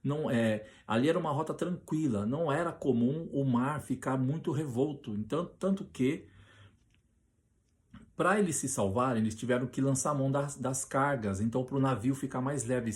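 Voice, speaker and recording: A Brazilian man preaching on a Bible passage, often restarting his sentences and slowing down to read scripture aloud with emphasis. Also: mid-range voice. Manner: hesitant with many restarts, reads scripture slowly and loudly.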